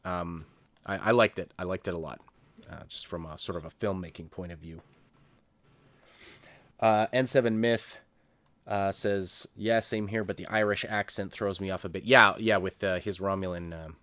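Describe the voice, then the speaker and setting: A man's voice: deep voice, fairly even intonation, normal speed, fairly bright tone, no echo. A man co-hosting a casual, unscripted TV review, thinking aloud in a hesitant, rambling way. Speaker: co-host of a review show.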